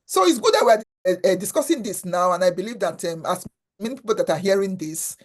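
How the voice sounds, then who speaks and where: A woman's voice: mid-range voice, animated, a little fast. A woman originally from Africa, a guest in an interview, speaking earnestly and often repeating words while she finds them. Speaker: guest, foundation founder. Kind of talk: conversational interview.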